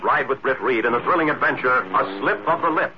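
A man's voice: mid-range voice, animated, very noisy. Emphatic male radio announcer, muffled vintage sound. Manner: emphatic, formal, deliberate. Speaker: announcer and narrator. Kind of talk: 1940s radio announcing, scripted.

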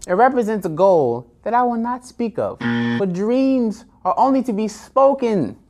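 A man, a musician, presenting a playful parody of children's educational television in a deliberate, theatrical manner. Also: sits high, big pitch swings, a little mellow.

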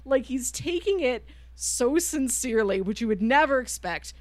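A woman's voice: sits high, lively intonation, normal speed, dry recording. A woman co-hosting a podcast, retelling stories in casual chat and often chuckling through her sentences. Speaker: podcast co-host. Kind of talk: casual chat reviewing manga.

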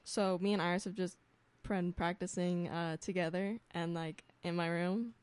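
A woman's voice: lowish voice, fairly even intonation, normal speed, a little mellow, smooth, no echo. A young woman musician being interviewed on the radio, speaking hesitantly with many fillers. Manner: hesitant, many ums and likes. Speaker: guest musician. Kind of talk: casual interview. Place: radio studio.

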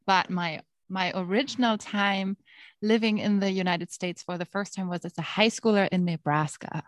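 A woman's voice: mid-range voice, steady tone, moderate pace, no echo. A woman with a German accent, a former teacher, speaking as an earnest, open guest on a podcast. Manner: earnest and open, laughs easily. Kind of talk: interview conversation.

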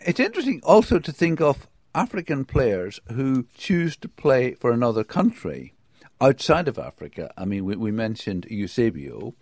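A man's voice: mid-range voice, big pitch swings, normal speed, a little mellow, no echo. A man from the UK giving a conversational football report, enthusiastic, with hesitations and restarts. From United Kingdom.

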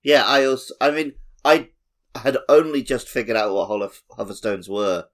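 A man's voice: mid-range voice, steady tone, moderate pace, fairly bright tone. A man co-hosting a podcast, chatting casually and conversationally about his memories of playing a game. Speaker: podcast co-host. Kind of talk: casual chat about gaming.

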